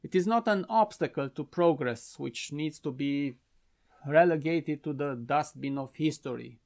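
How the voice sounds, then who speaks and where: Man, Romanian accent, non-native English, gravelly voice, raised pitch, earnest prepared talk, small office studio. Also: darkish tone. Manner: measured, earnest explanatory delivery. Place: simple home-office studio.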